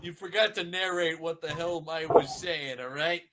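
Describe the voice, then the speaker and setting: High-pitched man, goofy ad-libber. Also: moderate pace, fairly bright tone. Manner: goofy ad-libbing. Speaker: fan fiction reader voicing characters. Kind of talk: casual hobby dramatic reading with banter.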